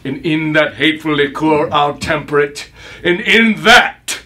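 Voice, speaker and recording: A man's voice: sits low, level tone. An actor playing a vengeful, grief-maddened Roman father in a declamatory Shakespearean stage monologue. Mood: cold menace. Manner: declamatory, deliberate, emphatic. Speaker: actor in a workshop. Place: acting workshop, indoors. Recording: distant mic, clearly noisy workshop room.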